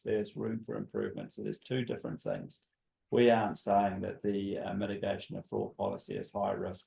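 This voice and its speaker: A man on council staff explaining audit findings at a council meeting, measured and repeating words as he goes. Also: fairly low pitch, vocal fry, warm tone, poor audio.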